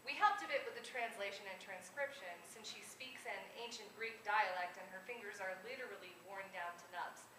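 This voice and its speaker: A woman with a medium-pitched voice reads humorous prose aloud as an author at a live event. She is some way from the microphone, and the room echoes around her. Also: brisk, hardly any pauses, pushed and bright. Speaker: author and teacher reading aloud. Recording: echoey, noisy room from far off.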